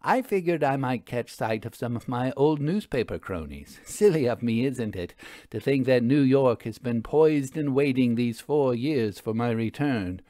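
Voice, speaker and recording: Man voicing a wry, lively woman investigator. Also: medium pitch, a little slow, no room sound, studio quality, close to an everyday voice. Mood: wry, breezy. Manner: inquisitive, wry, emphatic. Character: brisk, inquisitive woman investigator with wry confidence. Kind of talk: audio storybook character acting.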